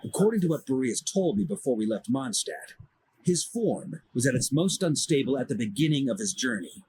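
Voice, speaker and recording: An actor playing a seasoned, confident expedition commander in a fantasy game, speaking in an assured, measured way. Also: male voice, mid-range voice, sing-song, darkish tone, breathy edge, poor audio. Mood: grave, assured. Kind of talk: fantasy video game dialogue acting.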